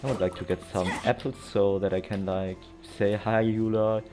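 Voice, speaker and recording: Low voiced guy doing a lets play, sarcastic and rambly, game music in the back. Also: flat delivery, moderate pace, warm tone. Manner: sardonic, rambling. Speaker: let's player. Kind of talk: casual gameplay commentary.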